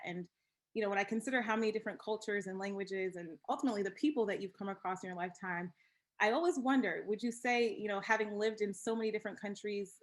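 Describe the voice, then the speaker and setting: Brisk, polished woman moderator. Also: medium pitch, a bit bright. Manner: polished, welcoming, scripted. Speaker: host and moderator. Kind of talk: webinar moderating.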